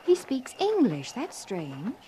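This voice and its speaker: An actress playing a prim, excitable, naive young woman in a breathless, theatrical 1950s radio drama style. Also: fairly high pitch, slow, a little hiss or hum, exaggerated voice. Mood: uneasy.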